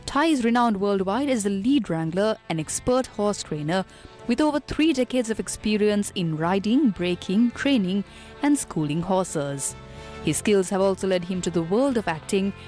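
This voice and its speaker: A woman's voice: mid-range voice, animated, moderate pace, a little mellow. Female reporter, voice-over narration, faint music behind. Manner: smooth voice-over delivery. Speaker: news reporter. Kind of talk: scripted news narration.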